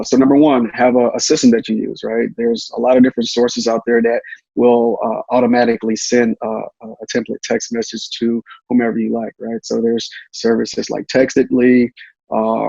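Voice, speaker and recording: A man's voice: mid-range voice, level tone, moderate pace, gravelly, mellow, slightly rough, dry recording, lo-fi sound. A man giving tips as an interview guest in a casual, repetitive way, often checking in with "right?". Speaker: guest, real estate investor.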